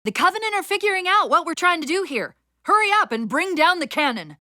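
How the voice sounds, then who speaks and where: An actress playing a female military AI companion in a video game, urgent and witty but fraying under strain, with crisp tactical delivery and dry sarcasm. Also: very high-pitched, big pitch swings, fairly quick, pushed and bright, dry recording, heavily acted. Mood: urgent, commanding. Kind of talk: dramatic game voice acting.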